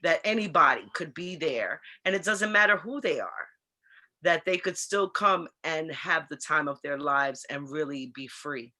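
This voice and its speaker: An American woman chatting and telling stories casually as a co-host, in an animated, slangy, expressive way. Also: sits low, moderate pace. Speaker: co-host of an online talk show. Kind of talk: casual chat and storytelling.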